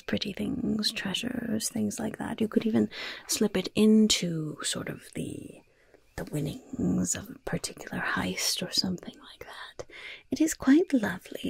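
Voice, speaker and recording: A woman's voice: mid-range voice, no echo, full character voice. A woman voicing a sly goblin shopkeeper who sells poisons and curses, in a gleefully understated, coaxing whispered ASMR roleplay. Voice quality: breathy, gravelly whisper. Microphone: close microphone.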